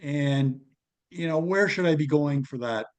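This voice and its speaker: A man on a group call, casually asking for advice in a tentative, halting way. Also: fairly high pitch. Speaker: club member asking for advice. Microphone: conference call.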